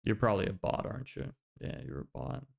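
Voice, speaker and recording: A young man from Lithuania who grew up in Ireland, chatting casually on a livestream, relaxed and rambling with many restarts. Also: medium pitch, fairly quick, gravelly. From Lithuania, raised in Ireland. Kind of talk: casual livestream chat, reading chat.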